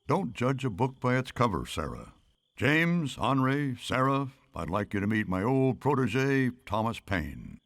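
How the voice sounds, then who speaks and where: A man voicing a kindly, wise elderly statesman in an animated series, genial and avuncular. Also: mid-range voice, a little slow, dry recording. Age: older. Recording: music behind the voice.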